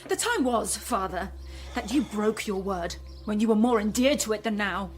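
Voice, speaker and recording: An actress playing a bitter, grieving young widow in a Shakespearean radio drama, impassioned and reproachful. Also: female voice, medium pitch, animated, moderate pace, slight background noise, lightly acted. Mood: pleading, reproachful. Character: bitter, grieving young widow pleading with her father-in-law.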